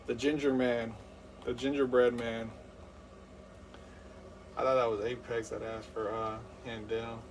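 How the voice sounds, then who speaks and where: A man's voice: mid-range voice, level tone, unhurried, pauses often, far from the mic, a little hiss or hum. A man in his thirties hosting a live game stream, rambling with lots of fillers and hyped play-by-play. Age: 30s.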